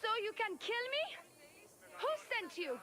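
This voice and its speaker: Casting: an imperious, suspicious High Priestess, game drama, high and animated voice, disdainful edge. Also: female voice, unhurried, over a noisy crowd. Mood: suspicious, scornful. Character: haughty, suspicious High Priestess, firstborn sister, cold. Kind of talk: scripted video game dialogue. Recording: loud music behind the voice.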